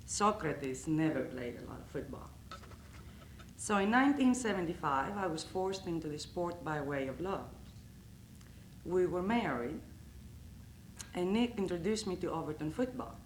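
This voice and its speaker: A woman's voice: fairly low pitch, lively intonation, slight background noise. A woman from Greece with a Greek accent, telling funny stories about her husband in a roast speech. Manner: slow, deliberate storytelling with comic timing. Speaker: coach's wife giving a roast speech. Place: banquet hall. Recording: echoey banquet hall.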